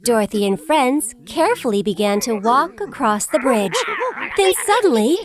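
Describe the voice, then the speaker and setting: A woman narrating a scripted children's fairy tale in an expressive storybook manner. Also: fairly high pitch, normal speed. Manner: expressive, clearly paced storybook delivery.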